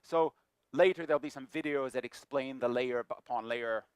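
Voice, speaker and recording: A man's voice: medium pitch, normal speed, clean tone, no echo. Jokey lecturing man, dry close sound. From New Zealand. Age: middle-aged. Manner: jokey, self-deprecating, lively. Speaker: guest speaker. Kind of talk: lecture talk. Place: lecture room.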